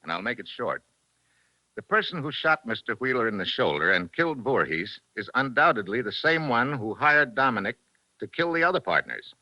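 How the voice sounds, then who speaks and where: An actor playing a tough, wry American investigator in a clipped, matter-of-fact 1940s radio drama style. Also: male voice, mid-range voice, very animated, normal speed, sharp tone, no room sound, full character voice. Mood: confident, accusing. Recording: muffled vintage 1940s radio recording.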